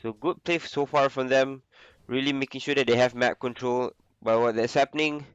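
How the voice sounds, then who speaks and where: A young man with the flu, casually commentating a video game on his own, with a lot of filler pauses. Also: mid-range voice, steady tone, clear, resonant tone, no room sound, lo-fi sound. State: sick with flu. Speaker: esports caster.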